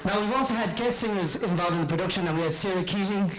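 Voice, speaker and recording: A middle-aged man with a western Irish accent giving an informal, halting thank-you speech from a stage into a handheld microphone. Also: high-pitched, over a noisy crowd. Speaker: pantomime company speaker giving thanks. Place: on stage in a theatre. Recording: noisy live theatre recording, loud audience.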